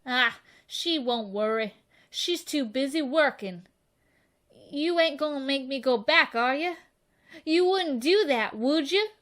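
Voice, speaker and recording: A woman's voice: high voice, expressive, slow pace, a bit bright, full character voice. A woman voicing a cocky, eager young runaway boy in a western radio drama, boastful and rustic in speech. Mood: defiant, hopeful. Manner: rustic, boastful, folksy drawling grammar. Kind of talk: old-time western radio drama acting.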